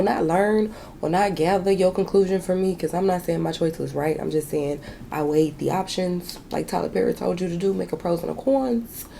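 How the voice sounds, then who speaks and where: A young woman with a raspy, hoarse voice, chatting candidly and swearing casually as she does her makeup at home. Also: mellow, slight background noise. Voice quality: raspy, deep voice. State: hoarse from sinus trouble. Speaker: beauty vlogger. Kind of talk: casual chat while doing makeup. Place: small bedroom with shelves and a lamp.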